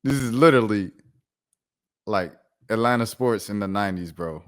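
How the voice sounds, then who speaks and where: A man's voice: lowish voice, moderate pace, dry recording, studio quality. An American man giving a casual, opinionated sports rant in a slangy, incredulous, emphatic way, repeating himself for effect. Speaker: sports commentator, show host.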